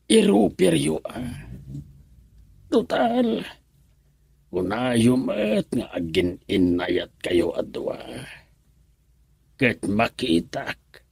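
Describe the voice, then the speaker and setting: A man acting an elderly grandfather in an Ilocano radio drama, caring but firm, with a gravelly, husky, halting voice. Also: on the low side, darkish tone, no room sound, exaggerated voice. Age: older. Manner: slow, halting, deliberate.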